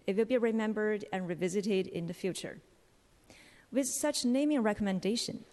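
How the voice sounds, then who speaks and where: A woman addresses a public meeting with a prepared political statement. She is formal and accusatory, at a middle pitch, and her voice rings with long reverb in a large chamber. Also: darkish tone. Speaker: public commenter. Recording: long echoing hall reverb.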